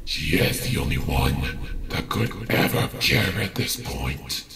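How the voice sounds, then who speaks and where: A man voicing a menacing, manipulative monster in a fan audio drama, with a gravelly, taunting delivery over music. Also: expressive, takes it slowly, fairly bright tone, exaggerated voice. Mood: sinister taunting. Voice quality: deep, gravelly. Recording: music behind the voice.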